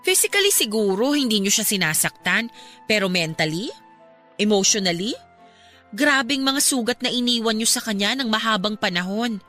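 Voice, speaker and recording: A woman's voice: mid-range voice, lively intonation, normal speed, sharp tone, faint music behind. A woman playing an outspoken, caring young friend in an earnest radio drama style. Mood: earnest, reproachful. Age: young adult. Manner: earnest, emphatic, polite yet confrontational.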